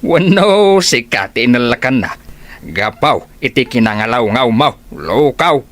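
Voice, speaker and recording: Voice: mid-range voice, animated, unhurried, lightly acted. An actor with an Ilocano accent playing an exasperated, scolding older village parent in a comedy radio drama.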